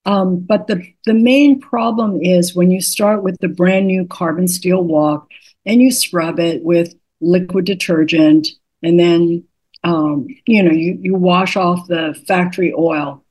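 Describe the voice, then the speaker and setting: An older woman, a cookbook author, patiently explaining cooking tips in an interview, her voice slightly raspy today. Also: lowish voice, steady tone, measured pace, darkish tone, low-quality recording. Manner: patient, instructive, methodical.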